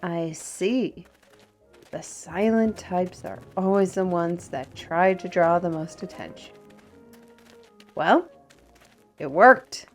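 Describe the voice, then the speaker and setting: A woman playing a talkative, worldly steward in a fantasy audio roleplay, with a wry, teasing and chatty manner. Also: fairly low pitch. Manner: chatty, teasing, addresses listeners as lass and lad. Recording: music behind the voice. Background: music.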